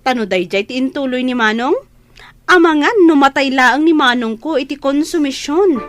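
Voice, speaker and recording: A woman's voice: high voice, expressive, normal speed, slight background noise. A woman playing an indignant, scornful gossiping younger sister-in-law in an Ilocano radio drama, with an Ilocano accent.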